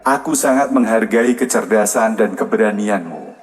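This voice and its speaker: A man's voice: on the low side, normal speed, close to an everyday voice. A man voicing an amused, appreciative king with a regal, approving manner in a storybook tale. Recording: long reverb, music behind.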